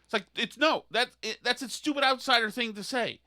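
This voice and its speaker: A man hosting a podcast, ranting in an animated, sarcastic, mocking way. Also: very high voice, brisk. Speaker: podcast host. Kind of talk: opinionated podcast rant.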